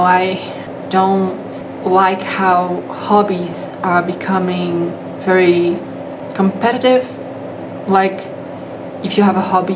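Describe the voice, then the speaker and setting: Young Brazilian woman, Portuguese native, hesitant casual English, low flat voice, air-conditioner hum behind. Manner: hesitant, with frequent restarts and fillers. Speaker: English teacher. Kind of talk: casual talking-to-camera monologue.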